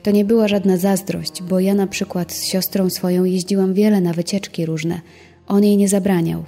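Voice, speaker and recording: A woman recounts what she witnessed in a dark, husky voice. The recording carries a long hall reverb. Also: medium pitch, flat delivery, normal speed, soft background music. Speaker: interviewee, witness. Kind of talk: recounted testimony.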